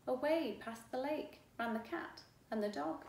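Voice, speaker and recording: A middle-aged woman reading a children's book aloud in an expressive, deliberate, rhythmic way. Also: high-pitched, far from the mic. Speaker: storyteller. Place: living room at home. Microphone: camera a few feet away. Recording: echoey room, faint background noise.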